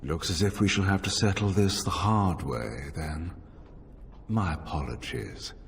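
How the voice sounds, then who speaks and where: An actor playing a suave, mock-polite slaver mage in a video game, with a theatrical delivery. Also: male voice, low voice, measured pace, noisy background. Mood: smug, menacing. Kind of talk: video game cutscene acting. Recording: long hall reverb, faint music.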